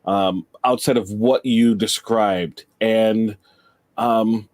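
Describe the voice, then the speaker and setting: A man speaking as a panel guest in a casual debate, measured and often checking for agreement as he argues. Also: fairly low pitch, steady tone, darkish tone. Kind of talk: casual debate about horror films.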